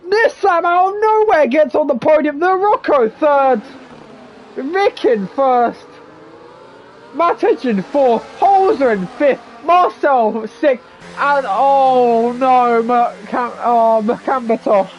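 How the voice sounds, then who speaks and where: A hoarse teenage man whose voice is almost gone. He commentates a sim-racing event and then slips into loose, filler-heavy chat as the stream host. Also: extremely high pitch, slow pace, lo-fi sound, a little hiss or hum. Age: teens. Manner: shouts calls at the finish, then reads results and chats loosely with fillers.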